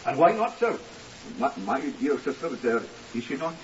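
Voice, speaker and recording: A man's voice: mid-range voice, very animated, moderate pace, faint music behind, lightly acted. An actor playing a meek, flustered, mournful man in a 1950s radio drama, hesitant and fussily deferential. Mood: anxious, hesitant. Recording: hissy, muffled, distant 1950s radio recording.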